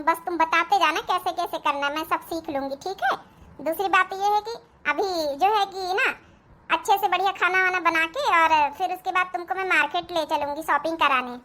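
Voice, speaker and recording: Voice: very high voice, normal speed, sharp tone. A performer voicing a kind, confident young city-bred daughter-in-law in a family cartoon, reassuring and taking charge. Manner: reassuring, take-charge, speaks standard Hindi. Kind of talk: Hindi family cartoon dialogue.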